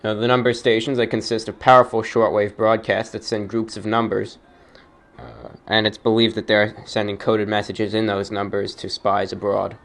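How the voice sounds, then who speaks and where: A man's voice: lowish voice, steady tone, moderate pace, vocal fry. A man hosting an informal radio-style show, speaking like an announcer with emphatic delivery. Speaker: show host.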